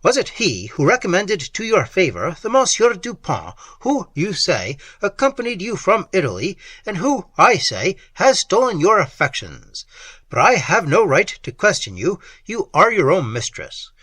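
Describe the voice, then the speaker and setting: A man's voice: mid-range voice, big pitch swings, a little slow, sharp tone, husky, no room sound, full character voice. A man reading an audiobook voices a desperate young man who is ruined and pleads wildly with the woman he loves. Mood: frantic. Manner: impassioned, wild, pleading. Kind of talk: audiobook reading of a classic novel.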